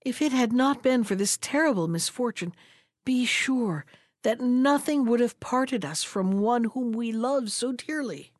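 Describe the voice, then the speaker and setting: A woman's voice: a little high, lively intonation, measured pace, no echo, studio quality, voice pushed up. Woman playing a sorrowful, earnest duck. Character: sorrowful wild duck, gentle and anxious.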